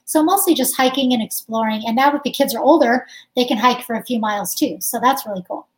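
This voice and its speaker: High-voiced chatty woman, laughs often. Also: fast, rough recording. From United States. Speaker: guest musician. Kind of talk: casual interview conversation.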